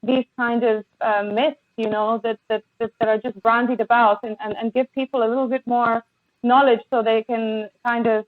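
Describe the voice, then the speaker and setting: A woman guest on a podcast, speaking with passion and many halting restarts over a remote call. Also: fairly high pitch, level tone, dry recording. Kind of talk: impassioned conversation. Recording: tinny phone-like line, dry.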